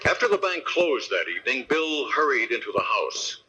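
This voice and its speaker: An actor narrating a western tale in scripted old-time radio drama style. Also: male voice, medium pitch, big pitch swings, moderate pace, noisy background, natural voice. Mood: storytelling. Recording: dull vintage radio recording. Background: music.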